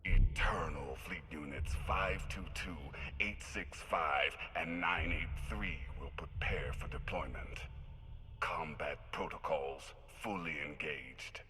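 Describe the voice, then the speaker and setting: A man playing a cold, menacing war fleet commander in a video game, speaking in a deliberate, commanding way. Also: extremely low pitch. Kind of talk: video game villain acting. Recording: long reverb.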